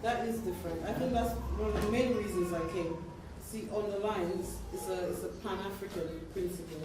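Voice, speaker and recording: A woman's voice: medium pitch, a little fast. A woman chatting casually in a group discussion, agreeable and given to short repeated affirmations. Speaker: community meeting participant. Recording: very noisy echoey room, distant mic.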